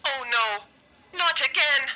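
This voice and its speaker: A bright, piercing, high-pitched talking book voiced by a woman, bossy and scatterbrained. She sounds abrupt and exasperated in a space comedy audio play. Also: expressive, takes it slowly, slight background noise, heavily acted, rough recording. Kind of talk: comic sci-fi audio drama.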